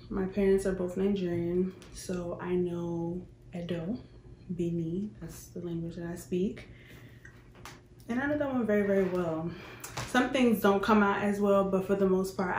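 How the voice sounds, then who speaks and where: A woman's voice: mid-range voice, a little slow, darkish tone. A young adult woman, chatting casually to viewers as a vlogger answering questions. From Queens, New York, United States. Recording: roomy echo, faint background noise.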